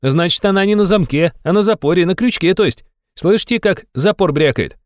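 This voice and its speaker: A man voicing an excited, quick-witted very young man with a ringing, eager way of explaining in an audiobook of a classic novel. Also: high voice, clean tone, dry recording, clean studio sound, voice pushed up. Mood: excited, insistent.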